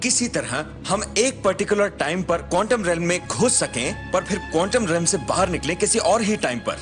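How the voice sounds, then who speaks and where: An actor playing an earnest, awkward, excitable superhero in a dubbed film, hesitant and rambling. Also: male voice, high-pitched, normal speed, over music. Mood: earnest, flustered.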